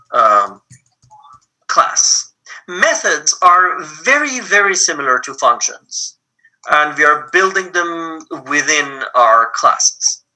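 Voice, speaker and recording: A man with a Persian accent teaches an online coding lab slowly and patiently. His voice is fairly high for a man and very bright, and he fills his pauses with ums. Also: poor audio. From Iran. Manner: patient, explains step by step with many ums. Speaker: lab instructor.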